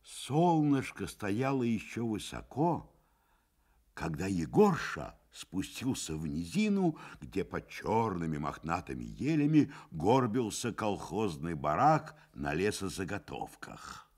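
A man's voice: low voice, big pitch swings, takes it slowly, a little mellow. A man giving scripted literary narration for a radio play.

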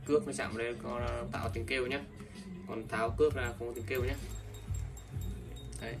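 A man selling used fishing reels in a casual, repetitive sales talk, filling pauses with ums and calling his buyers brothers. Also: medium pitch, fairly even intonation, gravelly. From Vietnam. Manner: repetitive, many fillers, addresses buyers as brothers. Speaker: fishing tackle seller. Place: indoors, table of fishing reels. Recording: distant mic, music playing behind.

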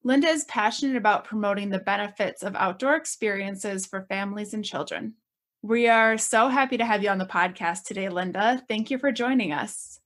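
Mid-pitched woman hosting a podcast. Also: fairly quick, fairly bright tone. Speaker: podcast host and interviewer. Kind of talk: podcast introduction and interview.

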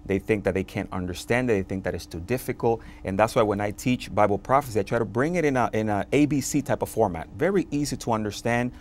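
A pastor from the Dominican Republic talks with quiet conviction, his voice sitting low and steady. He speaks earnestly, in a conversational testimony style, with frequent "uh" pauses. The lapel mic in a television studio keeps his voice close and clean. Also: a little hiss or hum. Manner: earnest, fervent, with frequent filler "uh". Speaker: pastor, interview guest.

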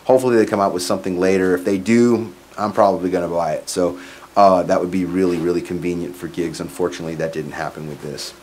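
Male, 40s, lowish voice, informal musician reviewing live sound gear, clear background noise on the recording. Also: little pitch movement, fast talker, darkish tone. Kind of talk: casual product demo. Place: small curtained home studio, indoors. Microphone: microphone on boom stand into PA, plus some room sound.

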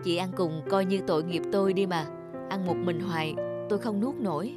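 Actor as a lonely, discontented husband. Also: male voice, high-pitched, fairly quick, natural voice. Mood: lonely, friendly. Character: lonely husband and father, discontented with his wife. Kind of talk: animated cartoon dialogue. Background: music.

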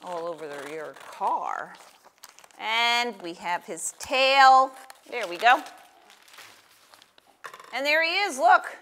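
Older woman with a lively, bright voice. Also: on the high side, slow pace, long pauses. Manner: encouraging, simple questions to a young child. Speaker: children's story time and craft host. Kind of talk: reading aloud and guiding a child through a craft. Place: small indoor room with table. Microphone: lapel.

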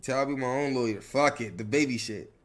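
A man with a mid-pitched voice plays a roleplay game on stream. He talks in casual slang, swears freely and stays evasive when questioned. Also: a little fast.

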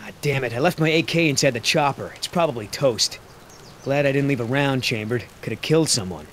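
A man's voice: medium pitch, fairly quick, a bit bright, slight background noise, close to an everyday voice. A man playing an easygoing, wisecracking young mercenary with a wry manner in a video game. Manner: wry, muttering to himself. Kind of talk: naturalistic video game acting.

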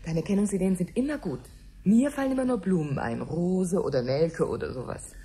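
A woman's voice: fairly low pitch, animated, brisk, dark tone, slightly rough, full character voice. A woman playing an easygoing command-center colleague in casual, friendly radio-play dialogue. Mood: musing.

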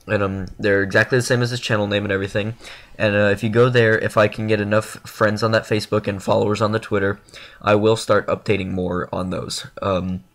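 Young guy all stuffed up, lowish voice, rambles with lots of ums, talking over game clips. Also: level tone, fairly quick. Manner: rambling, filler-heavy, self-deprecating. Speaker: gaming YouTuber. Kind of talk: casual channel update over gameplay.

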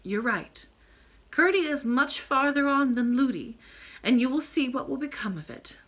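A woman voicing a kind, wise, ancient grandmother in a storybook, gentle, measured and formal. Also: high voice, expressive, voice pushed up. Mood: patient, tender.

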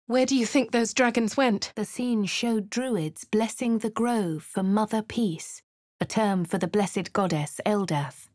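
An actress playing a posh, composed fantasy adventurer in a video game, with a British accent and a refined, measured, dramatic delivery. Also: female voice, mid-range voice, darkish tone, no echo, studio quality, close to an everyday voice. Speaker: voice actor for a video game player character. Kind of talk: scripted game voice lines and narration.

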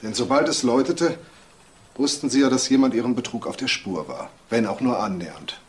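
An actor playing a shrewd, composed male detective with a dry, deliberate manner in a crime audio drama. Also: medium pitch, slight background noise, natural voice. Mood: confronting, composed. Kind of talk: naturalistic German radio drama acting.

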